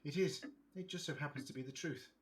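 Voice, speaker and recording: A man's voice: fairly high pitch, fast talker, close to an everyday voice. A man playing a polite, wry, articulate time-travelling doctor in an audio drama. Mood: puzzled, frank. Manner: articulate, courteous, precise wording. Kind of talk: fan audio drama acting.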